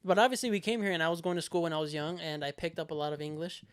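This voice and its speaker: Higher-pitched young man, casual and rambling. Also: racing, smooth, dry recording. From Mexico. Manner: loose and rambling, laughs often, swears casually. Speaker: podcast co-host. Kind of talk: casual chat.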